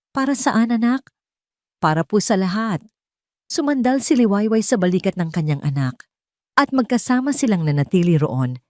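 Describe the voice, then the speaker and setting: A Filipino woman tells a sentimental scripted story. Her voice sits slightly low for a woman, with a measured, earnest delivery. The sound is very dry, with no room around it. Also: darkish tone, no room sound. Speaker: narrator and host of an audio story channel. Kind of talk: scripted dramatic story narration in a sentimental register.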